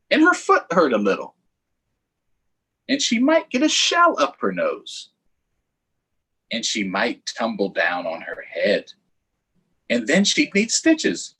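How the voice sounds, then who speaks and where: A man's voice: sits high, pushed and bright, husky. A man hosting a children's story time, reading aloud in a playful, sing-song way with chatty asides to viewers.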